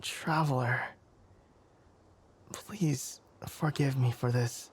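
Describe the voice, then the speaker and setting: Man voicing a young fox-eared forest scholar, anime ASMR roleplay, flustered, polite, halting, unhurried, husky and breathy, half-whispered. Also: medium pitch, heavily acted. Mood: breathless, yearning. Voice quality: breathy, often slipping into whispers. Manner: hesitant, stammering, politely formal.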